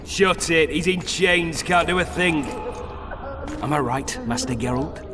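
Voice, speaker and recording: An actor playing a crude, taunting dungeon guard in a video game cutscene, with a coarse, jeering manner. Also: male voice, on the high side, a little slow, heavy background noise, close to an everyday voice. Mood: mocking. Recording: echoing dungeon reverb with music behind.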